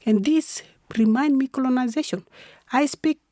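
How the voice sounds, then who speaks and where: Higher-voiced woman with a Sudanese accent. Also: moderate pace, warm tone, no echo. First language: a mother tongue other than English. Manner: emphatic, repetitive rhetorical questions. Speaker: activist guest. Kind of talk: impassioned interview talk.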